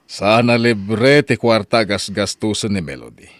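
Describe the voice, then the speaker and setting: A man's voice: fairly low pitch, expressive, a little slow, dry recording, studio quality. A man voicing a scolding middle-aged father. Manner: scolding, lecturing. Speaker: voice actor. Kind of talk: audio drama acting.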